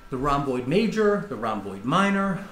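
A middle-aged man, a chiropractor calmly instructing and explaining adjustments to a patient as he works. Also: on the high side, normal speed. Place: small indoor treatment room. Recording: echoey room with background noise.

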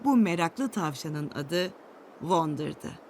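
A woman reading a scripted children's storybook tale with an expressive storytelling delivery. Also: deep voice, slow pace, noisy background.

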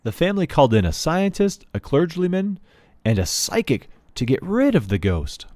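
A man's voice: a little high, big pitch swings, moderate pace, a little mellow, no echo, studio quality. A man reading a children's book aloud as a storyteller. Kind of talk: storybook read-aloud narration.

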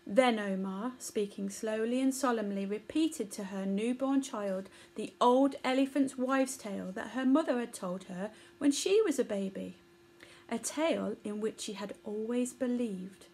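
A woman's voice: fairly high pitch, measured pace, darkish tone, slightly rough. A woman in her thirties narrating a children's storybook aloud, recorded indoors at arm's length. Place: small furnished room indoors.

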